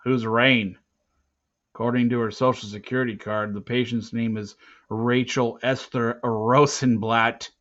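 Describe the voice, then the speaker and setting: A man's voice: mid-range voice, little pitch movement, measured pace, a bit bright, lightly acted. Man playing a blunt, skeptical cop, slightly muffled. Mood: incredulous. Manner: blunt, incredulous questioning. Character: gruff, skeptical male police officer, bemused and suspicious. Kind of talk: acted dialogue in an audiobook read-aloud. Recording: slightly muffled, dull recording.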